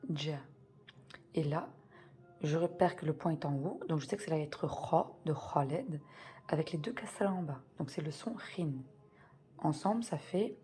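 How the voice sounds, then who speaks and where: A woman's voice: fairly low pitch, mellow. A woman teaching a beginners' reading lesson, patiently spelling out sounds step by step and repeating key words. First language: French.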